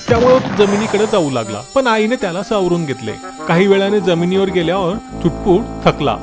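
A man narrating a scripted children's story in an expressive, dramatic way. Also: sits high.

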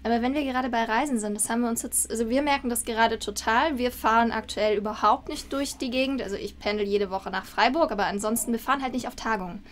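A woman's voice: on the high side, quick pace, hardly any pauses, a little hiss or hum. A woman in her thirties chatting casually as an interviewer on a video call. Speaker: interviewer, host. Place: book-lined room, indoors. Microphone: video call microphone, shared with co-host.

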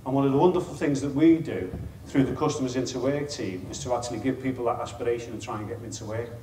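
A man's voice: medium pitch, fast, warm tone, reverberant, far from the mic, slight background noise, rough recording. Chatty middle-aged man with a Liverpool accent. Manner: chatty, self-deprecating, wry asides. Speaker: chief executive giving a conference talk. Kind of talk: informal presentation to an audience. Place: meeting room with an audience. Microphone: lapel mic.

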